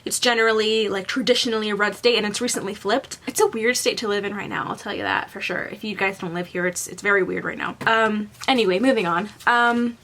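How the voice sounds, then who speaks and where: A woman's voice: medium pitch, fairly quick, hardly any pauses, fairly bright tone. A young woman in her twenties from Colombia with a light Southern US accent, chatting through a makeup tutorial with lots of "like" fillers. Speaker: beauty vlogger. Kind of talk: casual makeup tutorial chat. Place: bedroom at home.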